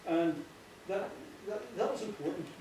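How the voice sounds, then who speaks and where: A man with a Northern Irish accent giving an informal, earnest speech, often halting and repeating himself. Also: high voice. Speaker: playwright giving a thank-you speech. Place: echoey indoor hall before an audience. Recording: very echoey, distant, noisy with clicks.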